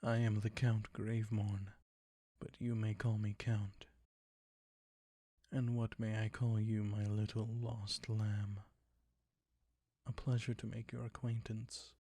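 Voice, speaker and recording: A man playing a suave, predatory ancient vampire count in an intimate roleplay audio drama, soft and breathy, courtly and condescending. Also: fairly low pitch, no echo, clean studio sound, full character voice. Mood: courtly charm. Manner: courtly, condescending, slow and savoring.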